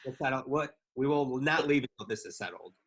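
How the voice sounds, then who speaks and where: Male podcast co-host, mid-range voice, laid-back and halting. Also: medium pitch, fairly bright tone, slightly rough. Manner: easygoing, hesitant with frequent ums. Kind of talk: casual live podcast chat.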